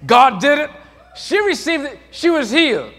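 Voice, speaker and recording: A man preaching a sermon, moving from quiet, low passages to loud, emphatic peaks. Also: big pitch swings, normal speed, long reverb tail. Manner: swings from soft to shouted, rising to emphatic peaks.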